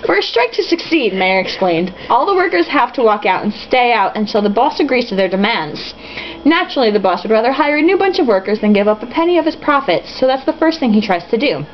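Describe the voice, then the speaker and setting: A woman's voice: on the high side, sing-song, brisk. A woman reading a novel aloud in a casual, comic way, with sarcastic, foul-mouthed asides. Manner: tipsy drinking-game reader. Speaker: host reading a novel aloud with mocking commentary. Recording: dull lo-fi with faint hiss.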